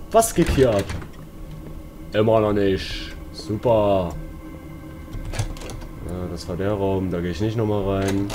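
A man giving casual, colloquial, joking commentary as he plays a horror game, talking to himself and his viewers. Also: deep voice. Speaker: let's player.

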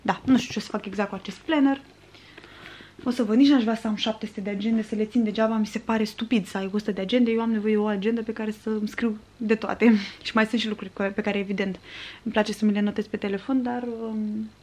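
A woman's voice: mid-range voice, fairly even intonation, darkish tone. A woman in her twenties from Romania, a vlogger chatting to camera in a casual, rambling, conversational way. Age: 20s. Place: small furnished room at home, indoors.

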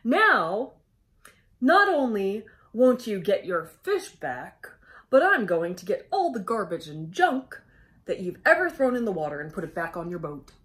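A woman's voice: mid-range voice, very animated, unhurried, a bit bright. A woman voicing a righteous male superhero of the sea in a children's storybook, speaking in a stern, emphatic, declarative way. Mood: stern rebuke.

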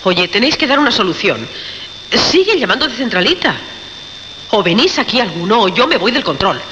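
A woman's voice: fairly high pitch, big pitch swings, fairly quick, bright, forceful tone. An actress with a Castilian accent playing a frightened, harried woman from a radio control room, urgent and pleading, in a radio drama. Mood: harried, insistent. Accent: Castilian Spanish. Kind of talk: Spanish radio drama acting. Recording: dull radio recording, faint noise.

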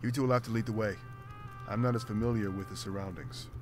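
Man voicing a low, firm beast warrior. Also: fairly even intonation, fast, a little mellow, faint music behind, lightly acted. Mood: urgent, firm. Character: big gruff pragmatic male wolf-like warrior. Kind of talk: video game voice acting.

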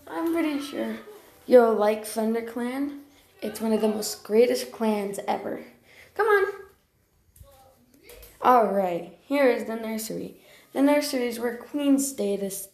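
A woman's voice: on the high side, a little mellow. A woman voicing a friendly, welcoming warrior cat in amateur character lines, chatty and encouraging with a newcomer. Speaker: amateur voice actor. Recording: roomy, echoey home recording.

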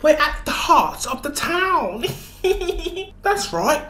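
A woman's voice: sits high, sing-song, extremely slow, sharp tone, full character voice. A woman playing a cryptic, knowing town informant in a melodramatic roleplay. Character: cryptic, all-seeing town informant, curtly dismissive. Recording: echoey room.

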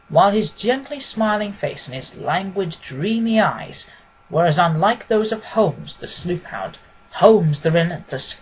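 A man's voice: high voice, slight background noise, low-quality recording. A man reading scripted literary narration as an audiobook reader.

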